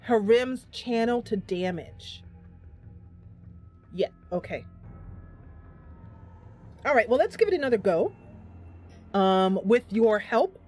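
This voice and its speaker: A woman's voice: on the high side, expressive, slow pace, long pauses, a little mellow. Woman game streamer thinking aloud over music. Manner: thinking aloud, chatting with viewers. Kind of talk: casual live gameplay commentary.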